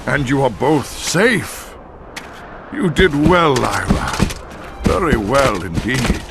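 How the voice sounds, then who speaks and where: A noble armoured bear for a video game. The voice is slow and gravelly, solemn and protective in its formal phrasing, with loud music over it. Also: male voice, mid-range voice, big pitch swings, heavily acted. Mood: approving.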